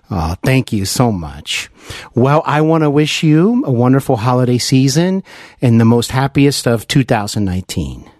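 A man with a mid-pitched voice hosts a celebrity interview. He speaks reverently and effusively in halting phrases, on a very dry recording. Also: expressive, a little mellow, dry recording. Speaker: interview show host.

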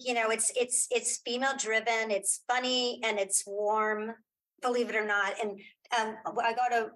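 A woman's voice: on the high side, a bit bright, rough recording. A woman speaking as a guest in a casual interview, chatty and informal, often restarting her sentences. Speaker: interview guest, audio drama creator.

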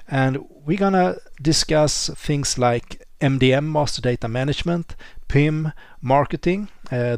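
A man's voice: a little high, darkish tone, dry recording, studio quality. A man hosting a podcast, talking casually as he introduces and questions his guests. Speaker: podcast host. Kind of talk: interview, casual chat.

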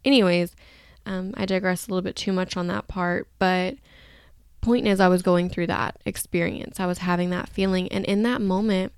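A woman's voice: lowish voice, fairly even intonation, moderate pace, clean tone, dry recording, studio quality. A woman hosting a podcast solo in a casual, chatty, rambling and candid way, with a slightly groggy voice. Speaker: podcast host.

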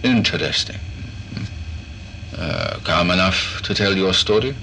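A cold, eccentric doctor of horror audio drama acted by a man, dictating like a pompous clinician. The voice is low and very sharp-edged, with theatrical swings of pitch, over faint background noise. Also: wildly swooping pitch, measured pace, vocal fry, heavily acted. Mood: probing, polite. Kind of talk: horror audio drama acting, recorded log narration.

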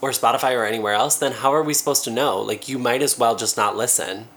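A woman with a strikingly low voice co-hosts a comedy podcast. She talks casually and bluntly, dropping swear words and deadpan jokes. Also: fast. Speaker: podcast co-host.

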